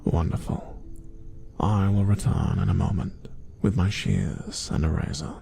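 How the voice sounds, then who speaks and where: A gentle dad-bod wolf farmer, played by a man, speaks low and slow in a fantasy ASMR roleplay. His voice is soft and slightly formal, with a gravelly, mellow grain. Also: full character voice. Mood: caring, reassuring.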